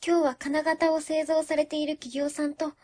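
A woman's voice: high-pitched, level tone, moderate pace, darkish tone, lightly acted. A woman playing a shy, polite young office worker who reports formally in a radio drama. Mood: dutiful.

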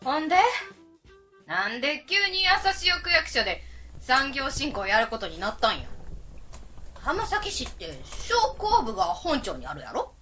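A woman's voice: high-pitched, sing-song, measured pace, over music. A woman playing a brash, nosy but good-natured auntie with an Osaka accent in an amateur radio drama, talking bluntly and familiarly. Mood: inquisitive.

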